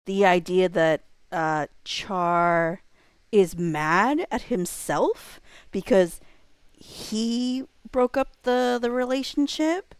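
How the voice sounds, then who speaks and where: A woman with a slightly low voice hosts a casual livestream. She rambles as she thinks aloud, with a playful streak. The sound is dry, with very little room in it. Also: clear, resonant tone, dry recording. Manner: playful, quick to laugh. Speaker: livestreamer and host reading chat.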